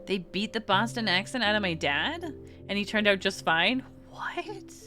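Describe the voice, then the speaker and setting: A woman's voice: medium pitch, very animated, normal speed, sharp tone, soft background music. A woman with an American West Coast accent, chatting casually with her viewers on a game stream, giggling and rambling with many restarts. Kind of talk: casual chat reading live chat during a game stream.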